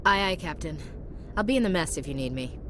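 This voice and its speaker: An actress playing an earnest, dutiful young soldier in a video game, speaking in a direct way. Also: female voice, lowish voice, lively intonation, fairly quick, natural voice. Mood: earnest, apologetic. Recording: clear engine-like ship noise behind.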